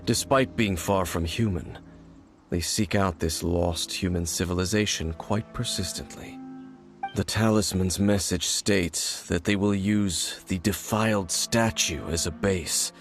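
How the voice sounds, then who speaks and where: A man voicing a grave, aloof and mysterious warrior in a video game, speaking in a measured, formal, solemn manner. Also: sits low. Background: game music.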